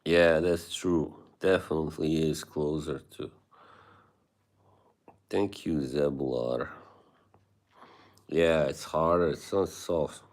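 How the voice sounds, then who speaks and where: A man's voice: very deep voice, fairly even intonation, slow, long pauses. A man with an Eastern European accent hosting a casual livestream, chatting with viewers, laughing often and swearing casually. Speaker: livestream host.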